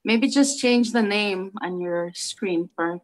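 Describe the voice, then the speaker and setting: A woman co-hosts a video call meetup. Her voice sits at an ordinary pitch, and she talks informally with fillers and restarts, keeping things friendly. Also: takes it slowly. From Brooklyn, New York. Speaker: co-host.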